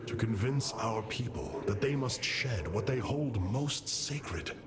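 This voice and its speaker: Sweeping, noble alien warrior commander. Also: male voice, medium pitch, lively intonation, slow pace, background noise. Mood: grave, reassuring. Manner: formal, solemn, declamatory. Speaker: voice actor. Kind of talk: epic science fiction game acting. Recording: loud cinematic score behind.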